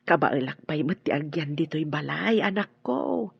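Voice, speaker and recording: A woman playing a caring, gently worried older mother in an Ilocano radio drama, with an Ilocano accent. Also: low voice, animated, slow pace, creaky, husky, dry recording, muffled recording, exaggerated voice. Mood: tender.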